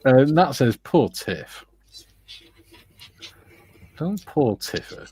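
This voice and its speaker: A man's voice: mid-range voice, sing-song, extremely slow, warm tone, husky. A man hosting a casual stream, reading viewer comments aloud and teasing his co-hosts in a jokey way. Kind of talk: casual chat.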